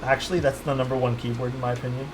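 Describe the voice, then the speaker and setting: A man's voice: medium pitch, little pitch movement, very fast, very noisy. A young man with a Toronto Canadian accent, chatting casually with his livestream audience while soldering a keyboard. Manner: easygoing, chatty, answers chat while soldering.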